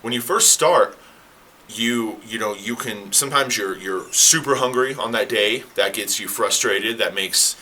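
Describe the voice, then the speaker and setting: A man who is a fitness coach, talking casually to the camera in a rambling, self-correcting way. He sounds slightly hoarse. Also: fairly low pitch, measured pace, bright, forceful tone, a little hiss or hum, rough recording. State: slightly hoarse, clearing throat. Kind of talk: casual explainer talk to camera.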